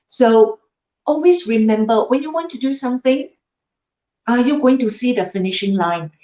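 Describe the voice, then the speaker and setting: A middle-aged woman whose first language is Cantonese, speaking on a livestream in a fairly high voice. She talks directly to her viewers, tagging her sentences with little questions. The room sounds roomy and the audio is a bit dull. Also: moderate pace, mellow. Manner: addresses viewers directly, tag questions like "huh?" and "lah". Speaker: livestream host. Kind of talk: motivational livestream talk.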